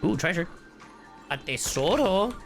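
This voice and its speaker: A man's voice: sits high, very animated, unhurried, faint music behind. A man streaming casual live reactions to a game, joking and slangy. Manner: joking, slangy, breaks into humming and catchphrases.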